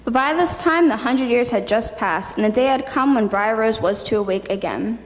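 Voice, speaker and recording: Female narrator for staged fairy tale, slightly high voice, recorded in a ringing hall. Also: lively intonation, normal speed. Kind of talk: scripted fairy-tale narration, readers theatre. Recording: noisy hall with long reverb.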